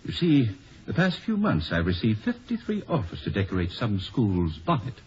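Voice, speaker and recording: An actor playing a proud, formal older scholar with a dry, self-satisfied manner in a 1950s radio comedy-drama. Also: male voice, on the low side, wildly swooping pitch, normal speed, darkish tone, a little hiss or hum. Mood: smug, wry. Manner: formal, pompous. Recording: muffled old 1950s radio recording.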